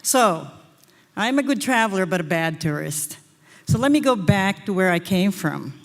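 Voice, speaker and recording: A woman lectures from a stage, telling personal stories. Her voice sits at a middle pitch. The sound carries a long reverb from a big hall. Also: animated, moderate pace. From Philippines. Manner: storytelling, personal anecdotes. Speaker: design professor. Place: auditorium stage.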